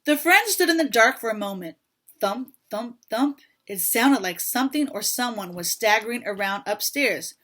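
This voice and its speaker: A woman with a high voice reads a children's story aloud. She is expressive and breaks off to chat with her young listeners and ask them questions. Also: normal speed.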